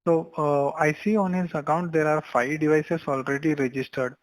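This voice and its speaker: A man with an Indian accent working as a support agent, explaining account rules in a halting, repetitive way on a call. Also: a little high, no echo.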